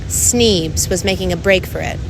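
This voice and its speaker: A woman narrating a story expressively as an audiobook reader, with clear noise behind her. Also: on the low side, normal speed.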